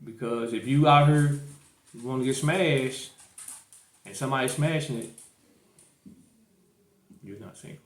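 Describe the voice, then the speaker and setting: A man's voice: mid-range voice, a little slow, pauses often. A man with a St. Louis accent hosts a casual podcast debate, hammering at his point and circling back over the same words. His voice sits in the middle of the male range. The room around him sounds echoey. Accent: St. Louis, Missouri. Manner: insistent, repeats himself. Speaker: podcast host.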